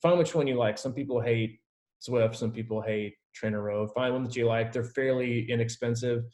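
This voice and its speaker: A man's voice: medium pitch, fairly even intonation, normal speed, darkish tone. A man working as a triathlon coach, informally and reassuringly answering team questions on a video call.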